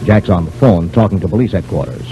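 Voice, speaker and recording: A man's voice: sits low, fast, low-quality recording. A man announcing and narrating an old-time radio serial in scripted storytelling style. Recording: hissy old radio broadcast recording. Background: music.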